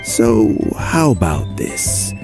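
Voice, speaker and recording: Game elder, courteous old statesman: very low, gravelly, measured and theatrical. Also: big pitch swings, exaggerated voice. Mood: considering. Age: older. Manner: formal, measured, theatrical delivery. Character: elderly courteous official, wise, weary, genial. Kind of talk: scripted game dialogue. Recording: background music under voice.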